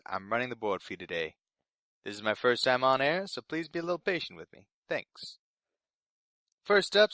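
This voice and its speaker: A man's voice: mid-range voice, fairly bright tone, dry recording, close to an everyday voice. A man playing a nervous, eager young intern presenting community radio news in a polite, earnest way for a scripted fiction podcast.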